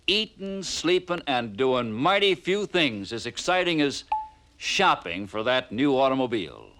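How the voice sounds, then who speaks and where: A man's voice: medium pitch, a bit bright. An American man narrating in 1950s training film style, persuasive and punchy, full of rhetorical questions. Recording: vintage 1950s film soundtrack.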